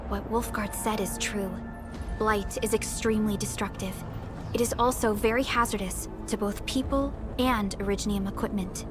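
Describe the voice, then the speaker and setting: A woman playing a calm, authoritative expedition supervisor in a video game, formal and explanatory. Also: medium pitch, lightly acted. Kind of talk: video game character acting. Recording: voice over game music.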